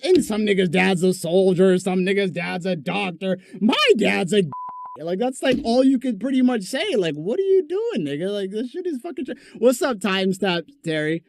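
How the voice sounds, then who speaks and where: Mocking young man, lively high voice. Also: creaky, dry recording. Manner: mocking, swears a lot. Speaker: streamer clip being reacted to. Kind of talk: casual profane rant.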